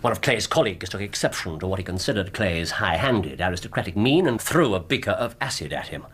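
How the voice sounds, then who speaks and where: A sardonic gentleman detective, English, low lively voice, theatrical, brisk, radio drama. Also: breathy edge, heavily acted. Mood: brisk, assured. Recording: background ambience noise behind the voice.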